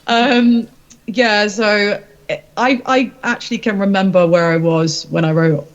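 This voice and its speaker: A woman's voice: mid-range voice, steady tone, measured pace, highs cut off. A woman, a rock singer-songwriter, chatting casually in an interview in an informal, rambling, self-deprecating way.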